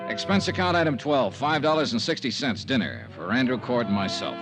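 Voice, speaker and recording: Hard-nosed freelance investigator for a 1950s radio serial: mid-range, brisk, clipped narration and interrogation. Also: male voice, mid-range voice, very animated, over music. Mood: dry, reporting. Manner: clipped, matter-of-fact, pressing. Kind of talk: 1940s-50s radio detective narration and acting. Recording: vintage radio drama recording.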